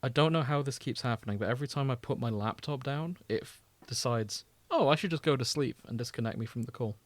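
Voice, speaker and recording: A man's voice: medium pitch, quick pace, no room sound. Chatty British man hosting, dry close sound. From Britain. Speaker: podcast host. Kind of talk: casual podcast chat.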